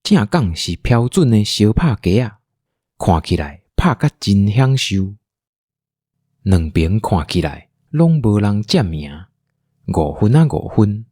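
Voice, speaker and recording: A man with a Taiwanese accent narrating a scripted radio drama in an animated, dramatic storytelling manner. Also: lowish voice, slow, husky, dry recording, studio quality.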